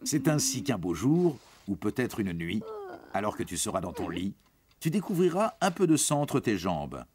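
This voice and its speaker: A man's voice: lowish voice, big pitch swings, moderate pace, background noise. A man reads scripted educational narration for young teens, explaining things in a reassuring, informal way and addressing the listener directly. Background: music.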